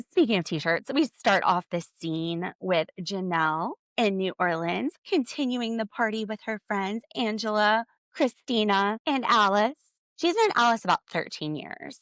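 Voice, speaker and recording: Sassy podcast lady, low gravelly voice, super dry close mic. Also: dry recording. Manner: snarky, sassy, animated asides. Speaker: podcast host. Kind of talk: reality TV recap commentary.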